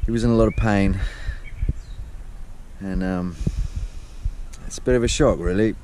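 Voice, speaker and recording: A man in his forties vlogging outdoors by a motorhome on a camera held at arm's length, talking softly and thoughtfully. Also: fairly even intonation, normal speed, slight background noise. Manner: soft, reflective, breaks into delighted high chatter with animals. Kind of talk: casual travel vlog. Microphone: action camera held at arm's length.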